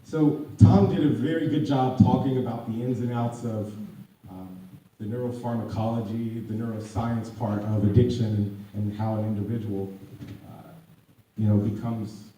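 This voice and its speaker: A man's voice: lowish voice, fairly even intonation, normal speed, dark tone. A man who is losing his voice gives a public talk as an addiction counselor. He often restarts his words and grows emphatic as he goes on. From United States. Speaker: addiction counselor speaking at a community panel. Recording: echoey room audio from far off.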